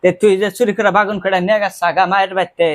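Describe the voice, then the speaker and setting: A man acts out an old village grandmother with a high-pitched voice. She speaks in rural Bangladeshi dialect, bossy and blunt, nagging and cursing as she accuses people in an improvised prank call. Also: level tone, fast, natural voice. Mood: accusing.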